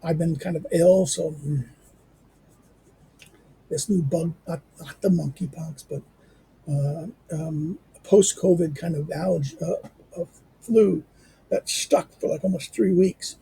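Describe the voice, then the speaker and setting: A hoarse man, a teacher, reading a history text aloud in a halting way with many fillers and restarts, then adding his own remarks. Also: on the high side, mellow, slight background noise, poor audio. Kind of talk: halting read-aloud with commentary.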